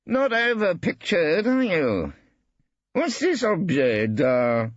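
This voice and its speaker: A man voicing a hearty, boisterous old male artist who talks in a booming, theatrical way, far higher than his own voice. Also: huge pitch swings, slow, no room sound, muffled recording, heavily acted.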